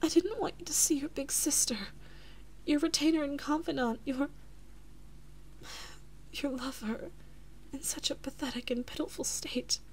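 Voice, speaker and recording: A woman playing a devoted, anxious big-sister princess and lover in an intimate audio roleplay, speaking softly and haltingly with breathy, emotional whispers. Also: high voice, steady tone, a little hiss or hum, low-quality recording. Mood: ashamed, apologetic.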